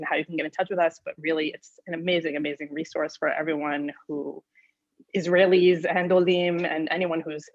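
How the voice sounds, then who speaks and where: A woman in her thirties from New York talks through her story in a chatty way, with plenty of ums. Her voice is very low and gravelly. The sound is dry and close but dull, like a video call. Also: dry recording. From New York, USA. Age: 30s. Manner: chatty, with many ums and likes. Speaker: presenter and nonprofit volunteer. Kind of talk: personal presentation.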